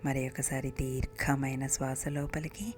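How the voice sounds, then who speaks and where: Very low-voiced woman guiding meditation in soft breaths. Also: level tone, unhurried. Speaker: meditation guide. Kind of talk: guided meditation narration. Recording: music bed behind the voice. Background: meditation music.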